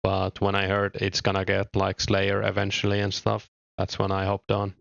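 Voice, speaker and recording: Low flat Finnish-accented young man. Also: moderate pace, gravelly, no echo, dull audio. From Finland. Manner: relaxed, hesitant, thinks aloud. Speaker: game streamer. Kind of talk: casual chat reading viewer comments.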